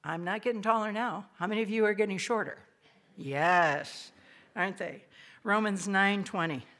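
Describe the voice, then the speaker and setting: An older woman with a raspy voice gives a chatty, self-deprecating devotional talk to an audience in a small old hall. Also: medium pitch. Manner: lively, chatty, self-deprecating preaching with quick asides. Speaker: speaker.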